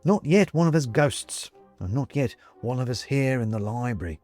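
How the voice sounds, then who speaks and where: A man voicing a jovial, persuasive ghost gentleman in an audiobook, with a British accent and clipped, chummy, old-fashioned upper-class phrasing. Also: mid-range voice, lively intonation, moderate pace, soft background music, close to an everyday voice. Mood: earnest, persuasive. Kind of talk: audiobook character acting.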